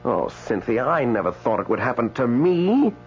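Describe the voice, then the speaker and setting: An actor playing an indignant, newly dead man in a radio drama, speaking with hesitant stammers and dramatic pauses. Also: mid-range voice, very animated. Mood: aggrieved. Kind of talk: radio drama acting with first-person narration. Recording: dull radio broadcast, faint mains hum.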